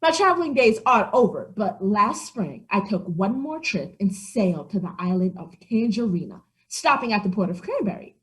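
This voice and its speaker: A woman voicing an old female alley cat who complains with whiny, drawn-out sighs. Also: medium pitch, big pitch swings, lightly acted, poor audio. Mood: storytelling. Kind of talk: children's story acting.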